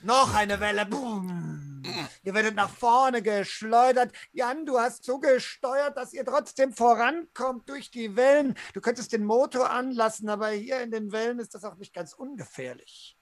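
A game master narrating a tabletop role-play dramatically, with vivid descriptions and suspenseful pauses. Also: medium pitch, dry recording. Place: indoor room, video call.

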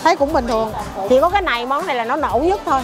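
Middle-aged woman, Southern Vietnamese accent, high bright voice, chatty stall owner reeling off dishes, music under the talk. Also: lively intonation, rapid-fire. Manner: chatty, lists dishes one after another. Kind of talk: casual interview chat. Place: busy indoor market food stall.

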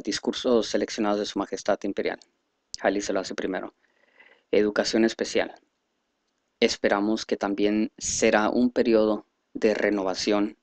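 A man reading a speech aloud in a halting, careful way, stumbling over words now and then. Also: lowish voice, dry recording. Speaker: reader presenting a selected speech.

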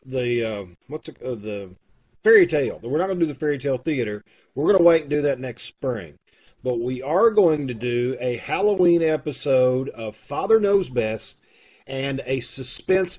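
A middle-aged man with a husky voice, making folksy, casual announcements to an online audience over a video call. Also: mid-range voice, a little mellow. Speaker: troupe host making announcements. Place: room at home, on video call. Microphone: video call microphone.

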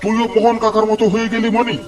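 A man's voice: high voice, completely flat delivery, fast, natural voice. A man voicing a stubborn, argumentative young village fisherman in a cartoon, cocky yet jumpy. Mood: indignant. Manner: argumentative, grumbling. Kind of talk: Bengali cartoon horror-comedy dialogue.

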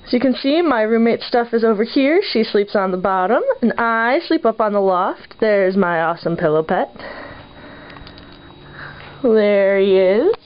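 A woman's voice: medium pitch, expressive, unhurried, clear, resonant tone. A young woman, a college student, giving a casual narrated tour for a vlog. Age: young adult. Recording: dull lo-fi camera audio, faint noise.

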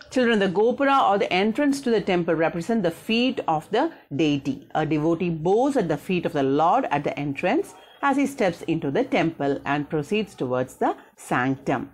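Low-pitched South Indian woman teaching. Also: darkish tone. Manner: didactic, repeats and rephrases key points. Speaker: school history teacher. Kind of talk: explanatory lesson over slides, partly read out.

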